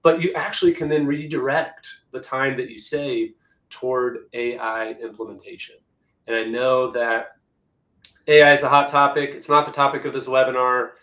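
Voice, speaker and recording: A man who works as an executive assistant, presenting a webinar in a chatty, conversational way, slightly hoarse from losing his voice. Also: mid-range voice, fairly bright tone, roomy sound. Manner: chatty, conversational, many restarts.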